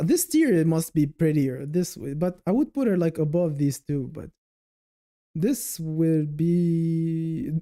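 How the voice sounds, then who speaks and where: Guy with a super dark mellow voice rambling on his livestream, thinking out loud. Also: on the high side. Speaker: livestream host. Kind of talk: casual livestream chat with viewers.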